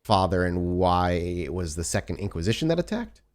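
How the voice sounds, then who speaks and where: A man with a fairly low voice narrates a tabletop roleplaying game as storyteller. He improvises scenes and fields player questions conversationally. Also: big pitch swings, normal speed, darkish tone.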